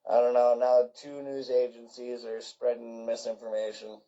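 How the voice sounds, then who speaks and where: A man from Canada calls into a live voice chat and speaks earnestly. His voice is mellow and mid-pitched. The audio is muffled and lo-fi. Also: little pitch movement, normal speed. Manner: earnest, heartfelt. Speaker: caller.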